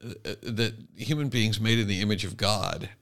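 Bright, forceful man making reflective points. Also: fairly low pitch, steady tone, normal speed, gravelly. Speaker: podcast co-host. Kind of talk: conversational commentary.

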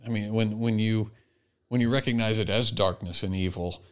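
A middle-aged or older man chatting casually as a podcast co-host, halting and restarting his phrases as he goes. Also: lowish voice, steady tone, clear, resonant tone. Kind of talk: casual conversational podcast talk.

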